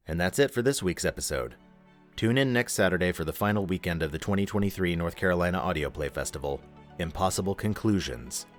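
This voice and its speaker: A man with a deep voice hosts and announces an audio play program. He reads scripted credits over quiet background music. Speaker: host and announcer.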